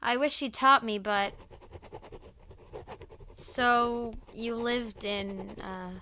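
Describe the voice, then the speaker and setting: A young woman acting an earnest, somewhat hesitant high school girl in a naturalistic audio drama. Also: drawn-out words, bright, forceful tone, a little hiss or hum, full character voice. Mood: guarded, wistful. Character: earnest, reserved teenage high school girl raised on the mainland.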